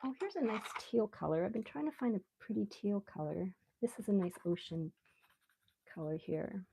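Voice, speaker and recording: A woman with a relaxed voice chats casually on a live stream as she draws, rambling unhurriedly and thinking aloud. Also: mid-range voice, normal speed, gravelly, slightly rough, poor audio. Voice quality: relaxed, soft-edged voice. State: relaxed, mildly sedated after anesthetic. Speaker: live stream artist host. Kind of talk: casual live stream chat while drawing.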